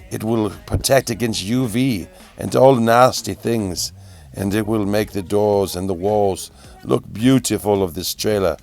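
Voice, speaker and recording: A man giving a melodramatic, whimsical storybook-style narration of a DIY build, repeating phrases for effect, in a gravelly voice. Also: fairly low pitch. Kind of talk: theatrical storybook-like narration of a hobby build video. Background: music.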